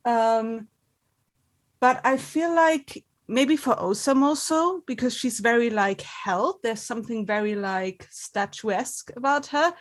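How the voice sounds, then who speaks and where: A woman's voice: a little high, slow. A woman being interviewed as an actor, talking casually and candidly, thinking her answers through as she goes. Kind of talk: casual chat.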